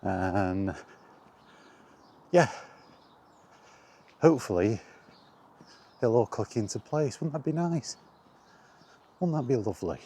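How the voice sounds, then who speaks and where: A man's voice: medium pitch, animated, takes it slowly, pauses often, warm tone, slightly rough. A man chatting casually as the host of a walking vlog, thinking aloud in short, broken phrases outdoors. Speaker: host of a walk-and-talk retro gaming vlog. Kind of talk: casual rambling chat. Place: outdoors on a walk.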